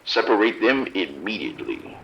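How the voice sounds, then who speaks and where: Dull phone-line sound, man acting stern police officer, low voice, blunt, commanding, grave, horror audio drama. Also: very animated, moderate pace. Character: overwhelmed, grim, firm male police officer.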